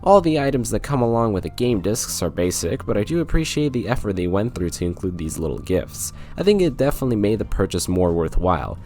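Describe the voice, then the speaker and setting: Guy reviewing a game, kinda low voice, super animated delivery, dry sarcastic humor. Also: a little fast, hardly any pauses. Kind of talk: scripted review narration with joking asides.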